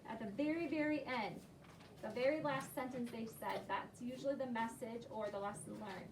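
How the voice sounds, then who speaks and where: A woman's voice: on the high side, normal speed. A woman teacher leading an interactive read-aloud lesson, questioning children and affirming their answers. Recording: echoey room, distant mic, clear background noise.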